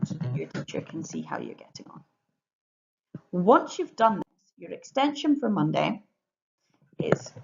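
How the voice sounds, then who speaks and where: A woman's voice: fairly low pitch, gravelly, warm tone. Lady teacher explaining schoolwork step by step, asks little check questions, roomy echo and dull lo-fi sound. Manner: instructional, checking understanding with questions. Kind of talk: explaining home learning tasks to pupils.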